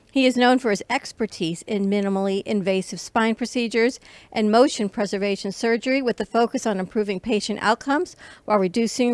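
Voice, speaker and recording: A woman's voice: mid-range voice, clean tone, dry recording. A woman hosting a health talk show with an upbeat, polished presenter delivery. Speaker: show host.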